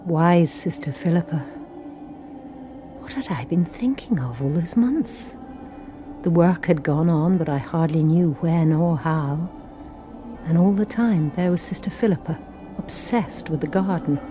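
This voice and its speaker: An actress playing a young Sister Superior who talks in a firm, clipped and commanding way, and who also narrates. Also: female voice, on the low side, a little slow, over music, close to an everyday voice. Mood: reflective.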